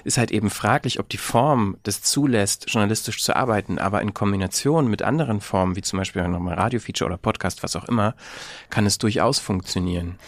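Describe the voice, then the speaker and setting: A man's voice: on the low side, a little fast, few pauses, no echo, clean studio sound. A man hosting a casual discussion, asking questions and adding his own thoughts.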